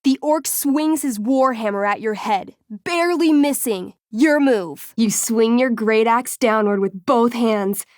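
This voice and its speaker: A woman's voice: fairly high pitch, a little slow, few pauses, darkish tone, dry recording, clean studio sound, lightly acted. An actress playing an enthusiastic young woman game master in a video game, moving between theatrical storytelling and casual, wry asides. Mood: narrating, wry. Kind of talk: video game dialogue, dramatic tabletop narration.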